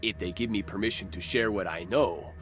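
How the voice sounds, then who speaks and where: Low-voiced, stubborn young policeman. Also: fairly quick, a bit bright, soft background music. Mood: unyielding, dry. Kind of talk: video game cutscene acting.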